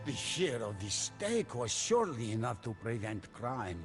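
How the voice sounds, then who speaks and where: A man giving a solemn, theatrical scripted narration of a story in a measured way. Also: mid-range voice. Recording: music behind the voice. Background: prominent music.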